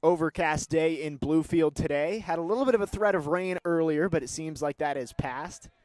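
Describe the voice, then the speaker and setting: A young man calling live sports play-by-play, moving between measured description and excited calls. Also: a little high, dry recording. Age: young adult.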